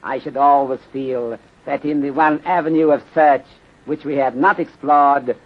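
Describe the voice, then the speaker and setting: An actor playing a calm, philosophical police detective in a 1930s radio drama, speaking formally and deliberately with clipped grammar that drops articles. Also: male voice, mid-range voice, lightly acted. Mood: measured, thoughtful. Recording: dull, lo-fi 1930s radio recording.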